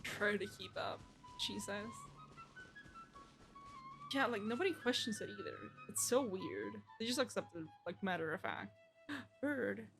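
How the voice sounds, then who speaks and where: A woman's voice: on the high side, wildly swooping pitch, measured pace, long pauses, rough recording. Casual woman streamer over game music. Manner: informal, amused. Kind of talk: casual live game reaction.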